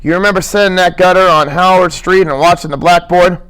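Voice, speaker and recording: A small, short-tempered working man who scolds and grumbles in a high, irritable voice, as a male reader puts him on. He talks with gruff impatience in this storybook reading. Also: fairly even intonation, fairly quick, fairly bright tone, poor audio. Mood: gruff, scolding. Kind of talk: audiobook character reading.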